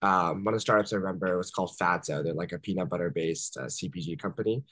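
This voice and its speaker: Chatty young man, low dry voice. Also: quick pace, fairly bright tone, no room sound. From born in Japan, raised in Washington DC area. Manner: chatty, many fillers like "uh" and "like", laughs easily. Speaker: startup founder guest. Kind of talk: casual interview storytelling. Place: home room on a video call.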